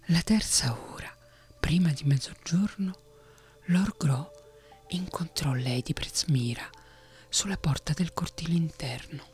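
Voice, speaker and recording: A woman reading a fantasy story aloud in a steady whisper. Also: low voice, unhurried, husky, soft background music. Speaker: narrator.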